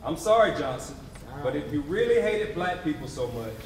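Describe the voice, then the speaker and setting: A man delivering scripted theatrical narration on stage in an animated, emphatic, conversational way with wry asides. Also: a little high, moderate pace, slight background noise. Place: theatre stage before a live audience. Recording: echoey theatre, distant mic, audience around. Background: audience murmurs and call-and-response.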